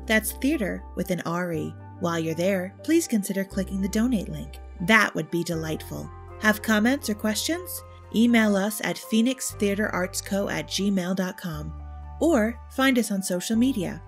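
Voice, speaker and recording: A woman reads the closing credits of a radio play in a friendly, even voice at a middling pitch. Soft music plays faintly behind her. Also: normal speed. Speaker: announcer reading the credits. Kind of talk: scripted closing announcement.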